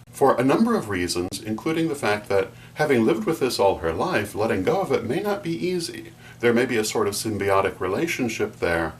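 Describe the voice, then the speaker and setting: A middle-aged man giving a formal, measured explanation to camera as a vlog host. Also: on the low side. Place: small furnished room at home, indoors. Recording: distant mic, faint hum.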